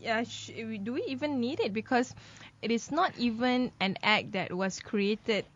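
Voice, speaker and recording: Woman co-host, bright higher register, talky and giggly, dull lo-fi sound. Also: normal speed. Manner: chatty, laughs often, many fillers. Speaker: news commentary co-host. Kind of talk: casual news commentary chat. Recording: dull lo-fi podcast audio.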